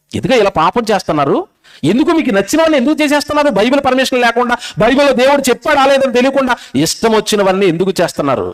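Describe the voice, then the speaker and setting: A man's voice: very high voice, a bit bright. A man preaching an impassioned sermon to a gathering, scolding and exhorting listeners with rhetorical questions.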